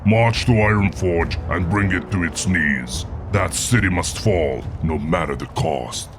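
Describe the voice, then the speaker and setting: An actor playing a stern, threatening orc war chief giving imperious orders in a video game cutscene. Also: male voice, sits low, measured pace. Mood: commanding. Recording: music under the voice.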